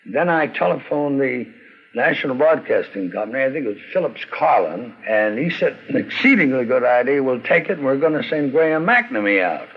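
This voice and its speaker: Older man, raspy voice, animated storyteller, anecdotal interview reminiscence, narrow tinny recording. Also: medium pitch, fairly quick. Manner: animated storytelling, quoting others. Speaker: interviewee, retired radio executive. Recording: thin, phone-like old recording.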